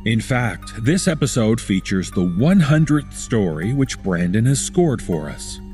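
Male podcast host, mid-pitched, measured scripted read with music underneath. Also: sing-song, warm tone. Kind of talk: scripted narration. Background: background music.

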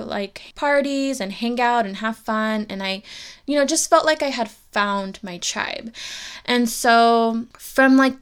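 A woman's voice: fairly high pitch, fairly bright tone, clear, resonant tone. A woman in her twenties hosting a podcast, telling a personal story in a candid, reflective way and speaking to her listeners directly. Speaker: podcast host.

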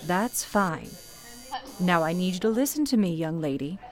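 A woman's voice: on the low side, expressive, normal speed. A woman playing a stern but motherly switchboard supervisor in a 1930s period radio play, formal, firm and soothing. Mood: stern, urgent.

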